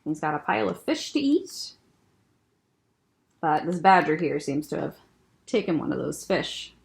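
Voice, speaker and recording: A woman who is a children's librarian, reading a picture book aloud to children in a gentle, expressive way and pointing out the pictures. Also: low voice, a little slow, creaky.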